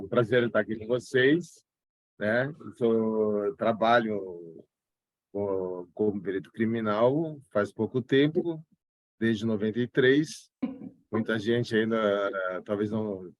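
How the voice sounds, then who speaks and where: A man's voice: on the low side, slow pace, no echo. Dry-voiced man with a slight gaúcho accent. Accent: slight southern Brazilian gaúcho. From São Paulo, Brazil. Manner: relaxed, rambling, with frequent restarts. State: recovering from a cold. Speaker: forensic expert guest. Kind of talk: casual interview talk.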